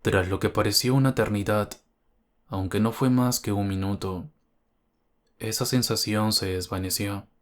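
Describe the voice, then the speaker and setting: A man's voice: on the low side, fairly even intonation, normal speed. A man reading a scripted first-person horror story as its narrator.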